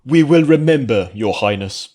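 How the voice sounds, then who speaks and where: A man's voice: mid-range voice, lively intonation, normal speed, mellow, pitched above the performer's own voice, natural voice. A man voicing a formal, earnest male unicorn noble with a dramatic manner in a fantasy story. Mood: solemn.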